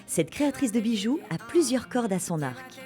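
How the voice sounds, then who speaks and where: A woman's voice: a little high, sing-song, normal speed, mellow, soft background music. A woman hosting an interview, asking friendly questions with frequent hesitations. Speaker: host.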